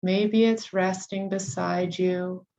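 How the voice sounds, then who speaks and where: A woman leading a guided meditation, giving soothing, unhurried instructions with long pauses between phrases. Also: fairly low pitch, fairly even intonation, warm tone.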